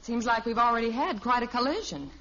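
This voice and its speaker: Patient American radio sitcom mom, wry and expressive, on a muffled old recording. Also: female voice, on the high side, a little fast, a little hiss or hum. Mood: concerned, wry. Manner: reasoning, gently wry. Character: patient, wry suburban wife and mother. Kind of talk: 1950s radio sitcom acting.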